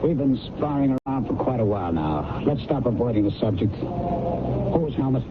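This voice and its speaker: A man's voice: medium pitch, expressive, moderate pace, natural voice. Casting: actor for probing, persistent man in a radio mystery, mid voice, tinny sound. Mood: probing, insistent. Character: insistent, probing man demanding answers. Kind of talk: 1970s radio drama acting. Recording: tinny, very noisy old radio recording.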